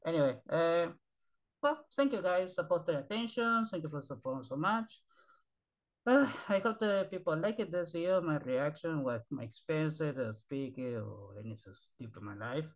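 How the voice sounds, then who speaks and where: A man's voice: fairly high pitch, expressive, moderate pace. Man, native Spanish speaker, broken English, tired, sighing, repetitive, webcam, small bedroom. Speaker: channel host. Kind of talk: rambling webcam outro. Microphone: webcam at desk.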